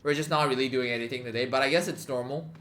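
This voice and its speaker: A man's voice: mid-range voice, fast, a bit bright, slight background noise. A young man chatting casually on a live stream, rambling with many fillers and swearing freely. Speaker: livestreamer.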